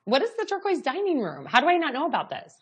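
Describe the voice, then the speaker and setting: A woman's voice: high-pitched, huge pitch swings, fast. A woman hosting a TV show, bubbly and enthusiastic as she interviews a guest in the studio. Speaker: TV show host. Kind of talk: TV host interview.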